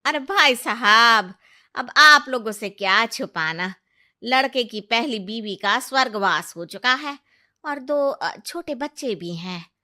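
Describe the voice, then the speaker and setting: A woman's voice: a little high, lively intonation, slow pace, bright, forceful tone, heavily acted. A woman playing a meddling middle-aged auntie matchmaker in a cartoon, coaxing and insistent. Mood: persuading. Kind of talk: Hindi cartoon dubbing.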